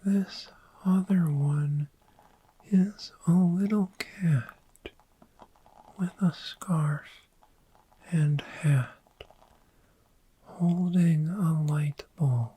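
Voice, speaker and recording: A woman voicing a friendly, gentle, sleep-loving dragon in a soft-spoken ASMR roleplay, whispering soothingly and lingering over repeated words. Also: lowish voice, drawn-out words, pauses often, a little hiss or hum, poor audio. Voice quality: breathy whisper.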